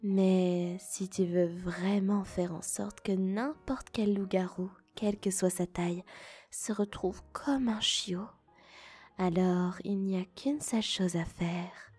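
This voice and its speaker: A woman acts a confident, flirtatious female werewolf in an ASMR roleplay. Her delivery is slow and breathy, often slipping toward a whisper, seductive and cuddly, over soft music. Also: medium pitch. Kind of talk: French ASMR roleplay acting.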